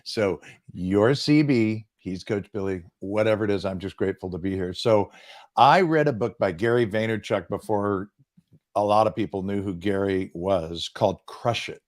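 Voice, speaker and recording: A man in his sixties, a business coach, telling his story in an earnest, self-deprecating way on a boom mic. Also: moderate pace. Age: 60s. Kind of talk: casual interview chat. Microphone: boom microphone.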